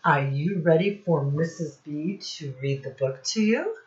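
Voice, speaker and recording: A woman teacher speaking to young children in a singsong instructional style, with simple phrases and deliberate repetition. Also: sits low, expressive, roomy sound. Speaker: children's teacher and read-aloud host. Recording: dull, lo-fi sound.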